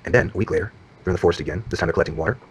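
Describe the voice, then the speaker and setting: A man's voice: low voice, racing, breathy edge. A man playing a tender, tired father who talks hesitantly and casually to his child, in naturalistic screen drama. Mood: gentle storytelling. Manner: hesitant, repeating himself.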